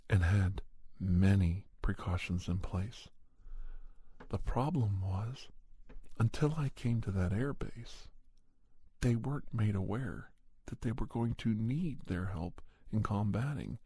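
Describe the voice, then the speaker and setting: Male ASMR narrator, low pitch, husky and breathy, solemn, measured pauses, mellow tone, close dry mic. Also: no echo. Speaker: host and narrator of an ASMR story podcast. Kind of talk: ASMR scripted story narration in first person. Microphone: close microphone, dry sound.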